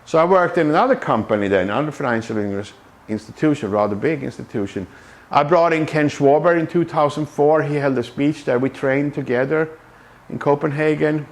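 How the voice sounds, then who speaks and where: A man's voice: fairly high pitch, brisk. A man from Sweden, a Scrum trainer giving a conversational talk to a meetup group, with many fillers and restarts. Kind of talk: conference talk. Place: meetup room.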